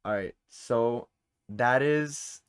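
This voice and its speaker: A man's voice: medium pitch, drawn-out words, clean tone. A man hosting a video game trivia quiz in casual chat, informally reading questions and answer options aloud. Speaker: quiz host.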